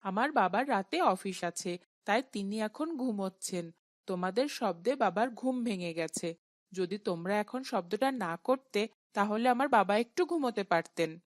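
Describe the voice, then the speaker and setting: A woman's voice: mid-range voice, expressive, moderate pace, dry recording, clean studio sound, natural voice. A woman voicing a polite, earnest young boy in a cartoon. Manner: earnest, respectful.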